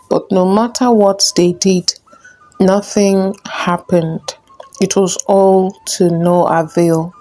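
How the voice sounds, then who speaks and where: A woman tells a folktale with a slightly low voice. She takes her time, speaking very slowly in a measured, simple storybook style. Soft music plays faintly behind her. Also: low-quality recording.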